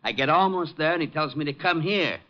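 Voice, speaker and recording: An actor playing a gruff, cynical taxi driver with brusque, slangy tough talk in a 1950s radio drama. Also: male voice, fairly high pitch, expressive, a little fast, lightly acted. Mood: irritated. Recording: noisy, muffled vintage radio recording.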